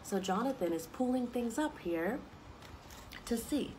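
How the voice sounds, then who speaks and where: A young woman reading picture books aloud to children, expressive and explaining things simply as she goes. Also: a little high, a little slow, slight background noise. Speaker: story time reader for children. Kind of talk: children's picture book read-aloud with asides. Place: outdoors on a wooden deck among trees.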